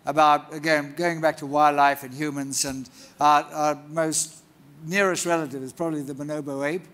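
A man hosts an onstage interview at a large conference and steers the talk with prompting questions. He laughs easily along with his guest. His voice sits a little higher than most men's and is picked up close by a lapel mic. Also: flat delivery, moderate pace, a bit bright.

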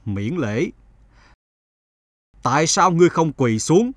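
A man's voice: on the high side, very animated, takes it slowly, no echo, studio quality, exaggerated voice. A man voicing a proud, stately emperor who tests his subjects in a cartoon fable. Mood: commanding. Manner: stately, commanding.

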